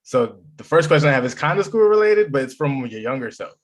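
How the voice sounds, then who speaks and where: A man's voice: medium pitch, big pitch swings, fast. A man hosting an education podcast, asking his guest questions in a friendly, loose, rambling way. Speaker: podcast host. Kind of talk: conversational podcast interview.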